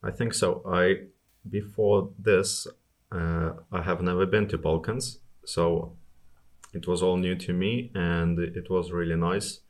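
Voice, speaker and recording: A man's voice: deep voice, steady tone, slow pace. A man from Estonia answering questions casually as an interview guest about his own travels.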